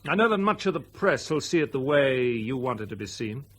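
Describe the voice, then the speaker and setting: An actor playing a stubborn, embittered airline captain in a radio drama, husky and sardonic. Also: male voice, medium pitch, sing-song, a little fast. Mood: bitter, determined. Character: defiant, embittered airline captain fighting a corporation.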